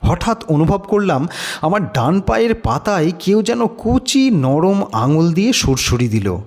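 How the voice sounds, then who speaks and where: Slow, expressive male story narrator, higher-pitched. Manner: measured, suspenseful storytelling. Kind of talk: dramatic scripted audio story narration.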